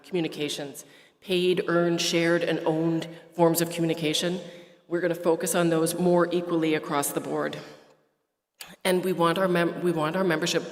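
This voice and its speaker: A woman from Quebec whose first language is French, giving a brisk report at an association's annual meeting, with some fillers and restarts. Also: sits low. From Quebec, Canada. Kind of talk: prepared speech at a meeting. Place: meeting hall at an airport. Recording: roomy hall reverb.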